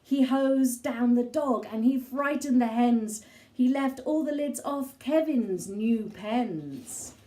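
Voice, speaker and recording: A woman reading a children's story aloud in an expressive style, with measured, dramatic phrasing and pauses. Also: a little high. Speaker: storybook reader.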